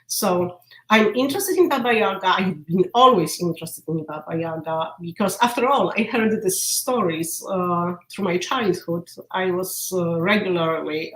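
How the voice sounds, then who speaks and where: A woman's voice: fairly low pitch, fairly bright tone. A woman with a Polish accent, a literature professor explaining her research over a video call in a thoughtful way with small hesitations. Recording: roomy video call audio.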